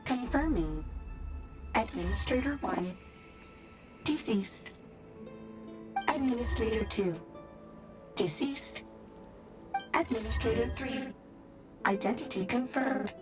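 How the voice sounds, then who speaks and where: A woman's voice: fairly high pitch, lively intonation, slow pace, long pauses, music in the background. A woman voicing an impersonal machine system in a video game, giving clipped, formal, emotionless announcements. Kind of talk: video game character voice acting.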